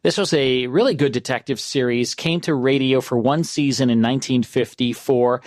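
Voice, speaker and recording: A man's voice: mid-range voice, moderate pace, a little mellow, no echo. A man hosting a radio show in a conversational style, close to a studio microphone.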